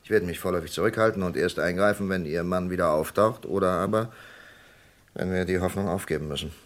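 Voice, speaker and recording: An actor playing a shrewd, firm FBI investigator in an old radio play, measured and authoritative. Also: male voice, low voice, natural voice. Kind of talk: 1960s German radio play acting.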